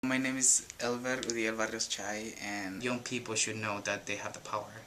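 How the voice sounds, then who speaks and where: A man's voice: fairly low pitch, level tone, moderate pace, sharp tone, slight background noise. A nineteen-year-old man from Guatemala with a Guatemalan Spanish accent, giving an earnest personal testimony about finding his voice. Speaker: youth program participant.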